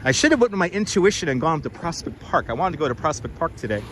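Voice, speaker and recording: A man's voice: fairly high pitch, very animated, fast talker, a bit bright. New guy streaming from the beach boardwalk, laid-back chatter, clip-on mic, lotta wind noise. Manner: easygoing, greets viewers by name, chuckles often. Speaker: livestream host. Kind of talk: casual walking livestream chat. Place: outdoors on a windy beach boardwalk. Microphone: wireless clip-on mic. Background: wind and crashing waves.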